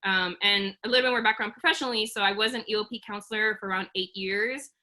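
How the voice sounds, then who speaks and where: A woman's voice: mid-range voice, steady tone, fast, sharp tone, low-quality recording. A woman in her thirties from Oakland, earnestly presenting a career webinar over a video call, with frequent um's and restarts. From Oakland, California. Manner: earnest, uses many fillers and restarts.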